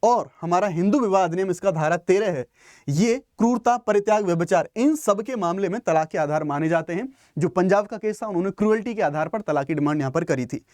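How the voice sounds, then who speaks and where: A man's voice: high-pitched, animated, fairly quick, darkish tone, dry recording, studio quality. A man teaching exam students in an explanatory lecture, didactic, rephrasing his points and mixing in English terms. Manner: didactic, asks and answers own questions, repeats and rephrases points; mixes English terms into speech.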